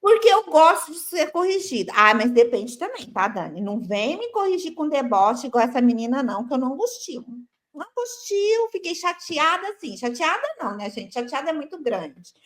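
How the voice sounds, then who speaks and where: A woman's voice: high-pitched, sing-song, normal speed, fairly bright tone. A woman co-hosting a casual chat, playful and exclamatory, speaking straight to the viewers. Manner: chatty, playful, exclamatory, addresses viewers directly. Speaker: co-host. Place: lives in Italy.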